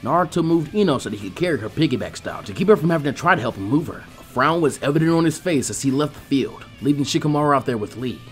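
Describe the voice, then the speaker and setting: A man reading fan fiction aloud as an expressive scripted narration. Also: on the high side, fast talker, few pauses, soft background music. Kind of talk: expressive scripted story narration with character voices.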